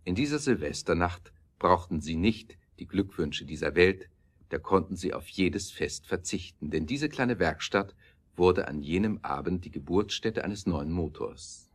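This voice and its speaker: A male narrator with a low, deep voice tells a scripted story. His phrasing is measured and literary, with clear emphasis on key words. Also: animated.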